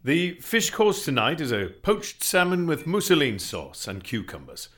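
A man's voice: fairly high pitch, huge pitch swings, a little slow, a bit bright, full character voice. An actor playing a formal, deferential male servant in a radio drama.

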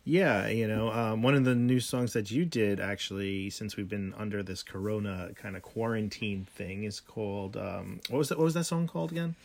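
Gravelly low-voiced man, rambling podcast chat. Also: normal speed, hardly any pauses. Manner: rambling, many ums and restarts. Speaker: podcast co-host. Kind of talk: casual home podcast chat.

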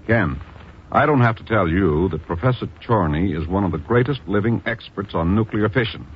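Deep, animated American bureau chief played by a man. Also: moderate pace, close to an everyday voice. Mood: grave. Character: earnest, worried American intelligence bureau chief. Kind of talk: 1950s radio drama acting. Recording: muffled, noisy old radio recording.

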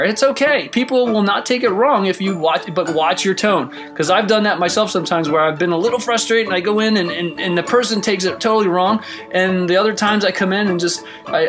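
A podcast host giving casual, encouraging advice in an earnest, emphatic way, speaking at a middle pitch. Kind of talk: casual motivational advice talk.

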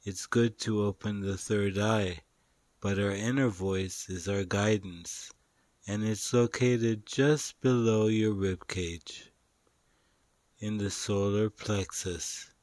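Male meditation guide, fairly low pitch, very unhurried delivery, soothing and instructional, short spaced-out phrases. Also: steady tone, a little mellow, no echo.

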